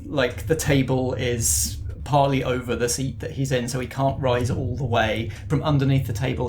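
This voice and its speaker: A man runs a tabletop roleplaying game, narrating scenes as he makes them up. His voice is mid-pitched. There is a faint layer of noise behind him. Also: a little mellow. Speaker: game master.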